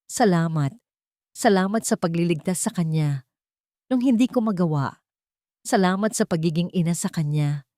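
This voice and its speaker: A woman voicing a tender, earnest man, a devoted father and lover, in a dramatic audiobook with soft, halting delivery. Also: on the low side, animated, no echo, studio quality, close to an everyday voice. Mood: weak, pleading. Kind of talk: dramatic audiobook character reading.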